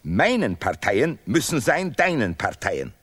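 An actor playing a pompous, sanctimonious male laureate who declaims vehemently in deliberately broken grammar in an absurdist radio play. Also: mid-range voice, sing-song, fairly bright tone, husky, heavily acted.

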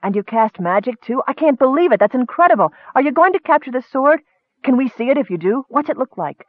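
A man voicing an eager, excitable young male kender who gushes with wonder in an audiobook fantasy tale. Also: extremely high pitch, fairly quick, no echo, phone-quality sound, pitched above the performer's own voice, full character voice. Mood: awed excitement. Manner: gushing, breathless rush of questions.

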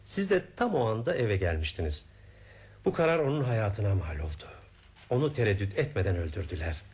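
A composed radio-drama detective with a low voice that moves widely in pitch. He sounds methodical and probing, laying out each point like a careful investigator. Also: big pitch swings, brisk, a little mellow. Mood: explaining gravely. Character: composed, methodical male detective explaining a murder case. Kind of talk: Turkish radio theatre acting.

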